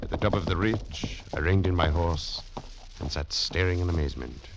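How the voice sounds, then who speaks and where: An actor playing a stern, uneasy French Foreign Legion major who narrates and commands in a dramatic 1940s radio style. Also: male voice, a bass voice, creaky, slight background noise, heavily acted. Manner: dramatic, military, tense storytelling. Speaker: narrator and lead character. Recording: muffled 1940s radio recording with music.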